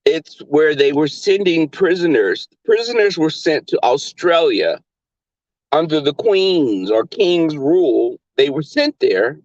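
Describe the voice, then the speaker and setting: A man with a Southern accent hosting a live stream in casual chat, crass and flamboyant, often leaping into high squeals. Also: sing-song, slow pace, darkish tone, no echo. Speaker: livestream host. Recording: dull lo-fi stream audio.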